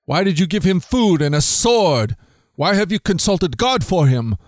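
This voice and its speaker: A man doing a furious, shouting king. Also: a little high, moderate pace, no echo, studio quality, voice pushed up, natural voice. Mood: accusing. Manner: shouting, accusing. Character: furious suspicious old king, male.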